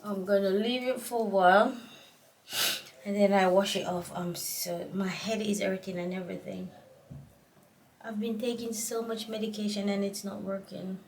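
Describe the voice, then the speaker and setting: A middle-aged woman with a Jamaican accent, vlogging casually while congested from a cold, talking in a slow, tired, rambling way. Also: medium pitch, fairly even intonation, a little mellow, far from the mic, slight background noise, poor audio. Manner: slow, tired, rambling talk with many fillers. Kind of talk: casual vlog chat.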